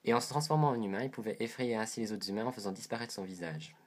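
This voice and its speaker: A man's voice: fairly low pitch, fast talker. A man with a head cold and a stuffy nose, reviewing a doll to camera in a casual, hesitant way, describing details as he handles it.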